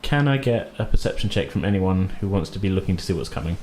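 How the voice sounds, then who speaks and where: A man's voice: low voice, lively intonation, fast, a little mellow. A man acting as game master, explaining rules and narrating a tabletop game in an informal, conversational way. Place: indoor room with other players. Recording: roomy echo, faint background noise.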